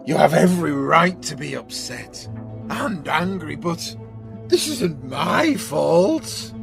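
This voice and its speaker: Man playing gravelly, slow old villain. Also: on the high side, sing-song, heavily acted. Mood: taunting. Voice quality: gravelly, creaky. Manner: drawling, sardonic, commanding. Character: menacing old male villain, smug, taunting community leader. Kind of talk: audio drama acting. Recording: dramatic music bed behind voice.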